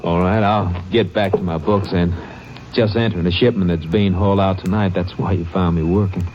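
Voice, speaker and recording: A man's voice: sits low, fairly even intonation, a little fast, lightly acted. An actor playing a matter-of-fact ranch foreman in a 1950s radio drama. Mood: plain. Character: ranch foreman, grown man. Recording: muffled old radio broadcast, hissy.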